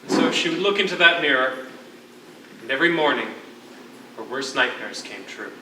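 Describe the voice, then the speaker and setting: A stage actor playing a world-weary, wry imprisoned writer with a wordy, formal, sardonic manner. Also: male voice, mid-range voice, lively intonation, moderate pace, pushed and bright. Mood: grim storytelling. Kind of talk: theatrical stage acting. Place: on a stage in a hall. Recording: echoey theatre stage, distant mic, faint noise.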